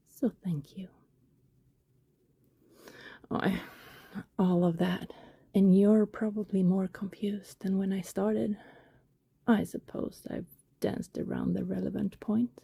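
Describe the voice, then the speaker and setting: Breathy, whispery, tender vampire woman, acted by a woman. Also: mid-range voice, no room sound, full character voice. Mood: hesitant confession. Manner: soft, breathy, whispery, hesitant confiding delivery. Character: affectionate female vampire neighbor, guilty, tender and reassuring. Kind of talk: intimate ASMR roleplay acting.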